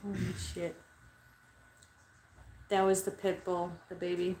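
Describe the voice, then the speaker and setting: A woman's voice: lowish voice, flat delivery, a little slow, mellow. Chatty woman livestreaming from an echoey bedroom. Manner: rambling, chatty, swears freely, talks to chat viewers. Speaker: livestreaming spiritual medium. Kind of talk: casual livestream chat. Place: small bedroom under red light. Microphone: webcam at desk distance.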